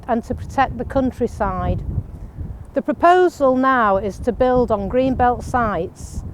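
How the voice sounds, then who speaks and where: A woman with a somewhat high voice speaks firmly and deliberately as a local campaigner. She is recorded outdoors in town, with faint traffic sound behind her. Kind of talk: short statement to camera.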